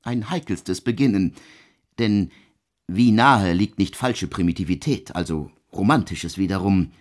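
An actor playing a proud, intellectual German composer in a radio drama, speaking in earnest, eloquent, deliberate phrases. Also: male voice, low voice, expressive. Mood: intense, cerebral. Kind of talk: literary radio drama dialogue.

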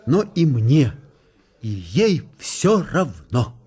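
A man reading literary narration for a radio play in an expressive, theatrical storytelling manner. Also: mid-range voice, drawn-out words, a little hiss or hum. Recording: studio radio play with faint music.

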